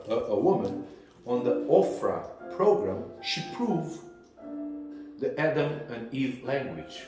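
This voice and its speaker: An older man with a Romanian accent talks in a slow, halting way and keeps stopping to find the right English word. His voice sits at a middling pitch. It rings in an echoey room with music playing clearly behind him. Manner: halting, searching for English words. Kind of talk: casual conversation.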